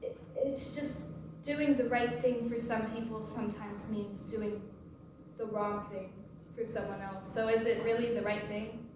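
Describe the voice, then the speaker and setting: A woman playing a guarded, sardonic young divorced mother in a staged reading of a play, with a halting, wry delivery. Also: fairly high pitch, steady tone, close to an everyday voice. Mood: hesitant, regretful. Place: large echoey room, recorded from far off. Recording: distant, very echoey room with faint noise.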